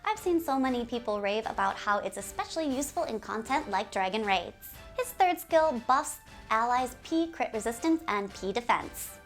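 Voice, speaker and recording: A woman hosting a game community video series, speaking to viewers in an animated, giggly, presenter's way. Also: a little high, moderate pace, few pauses, faint music behind. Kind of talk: upbeat scripted video presenting with ad-libbed asides.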